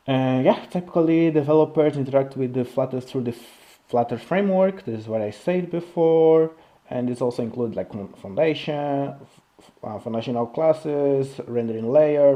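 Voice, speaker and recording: A man with a non-native English accent giving an informal technical tutorial in a halting, repetitive way, often checking in with a short question. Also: mid-range voice, dark tone. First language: not English. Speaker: programming video creator.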